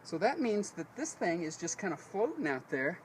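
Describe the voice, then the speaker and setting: A man's voice: high voice, sing-song, moderate pace. An American man giving a casual how-to demonstration as host of a DIY video, in a folksy, rambling way, often correcting himself. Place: outdoors beside a vehicle. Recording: noisy on-location camera audio.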